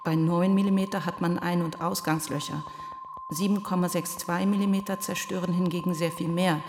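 A woman's voice: fairly low pitch, normal speed, close to an everyday voice. A woman playing a numb police investigator who gives testimony in a radio play, matter-of-fact and detached. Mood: clinical, detached. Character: numb, clinical police investigator reciting facts. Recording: reverberant hall with steady hiss.

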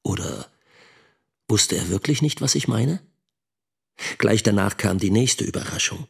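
Middle aged teacher dad, scheming and indignant, husky creaky voice, radio drama narration. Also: male voice, lowish voice, expressive, unhurried, darkish tone, full character voice.

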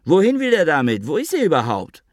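A man's voice: wildly swooping pitch, brisk, dry recording. A male narrator doing a pampered, blustering fat man whose voice climbs into a whiny squeal. He pleads, taunts and wheedles in turn. The read is close and dry, with no room around it. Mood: taunting. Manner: whining, wheedling, high and squealing.

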